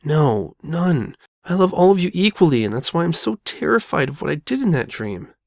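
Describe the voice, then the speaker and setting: A man's voice: fairly high pitch, big pitch swings, normal speed, creaky, a little mellow, no echo, clean studio sound, full character voice. A man voicing an anxious, earnest young female pony with hesitant phrasing in a dramatic fan fiction reading. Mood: guilty, upset.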